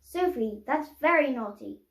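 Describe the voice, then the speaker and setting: A woman voicing a scolding storybook mum who gives a firm, drawn-out telling-off to a naughty toddler. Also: high-pitched, lively intonation, echoey room, pitched above the performer's own voice.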